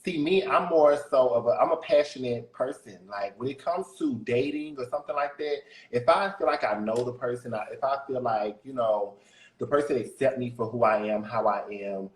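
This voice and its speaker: A deep-voiced man talks candidly and openly, sitting at a middle pitch. He laughs easily as he chats. The room around him is echoey. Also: moderate pace. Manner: candid, chatty, laughs often. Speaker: guest musician. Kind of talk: casual interview chat.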